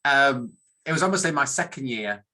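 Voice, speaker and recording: A man's voice: medium pitch, animated, moderate pace, bright, forceful tone. A middle-aged man with a northern English accent gives an informal career talk in a meandering, self-deprecating way, often restarting his sentences. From northern England. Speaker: academic statistician giving a career talk. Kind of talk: informal presentation.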